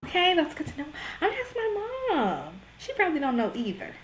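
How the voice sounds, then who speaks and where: A young woman from Georgia co-hosting a podcast, chatting casually in an animated, slangy way and laughing often. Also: extremely high pitch, a little fast, a little hiss or hum, poor audio. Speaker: podcast co-host. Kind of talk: casual chat.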